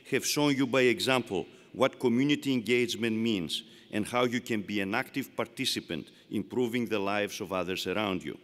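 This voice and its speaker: A man's voice: medium pitch, a bit bright. Older Greek-accented man in arena reverb. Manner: rhetorical, emphatic, repeats phrases for effect. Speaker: commencement speaker. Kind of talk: formal commencement speech. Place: large arena.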